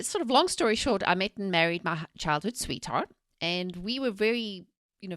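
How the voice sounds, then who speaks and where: A South African woman in her forties, a mindset coach telling her story in a lively, rambling interview. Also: fairly low pitch, no room sound, clean studio sound. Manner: energetic, rambling with restarts and laughs.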